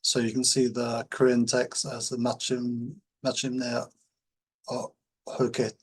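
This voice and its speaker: A man teaching in an informal tutorial, explaining things in a halting, hesitant way. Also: medium pitch, completely flat delivery, breathy edge. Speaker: language-learning teacher.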